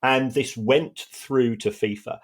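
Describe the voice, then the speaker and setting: A man giving conversational expert analysis on a podcast, explaining carefully in measured, hesitant phrases. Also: medium pitch, fairly even intonation.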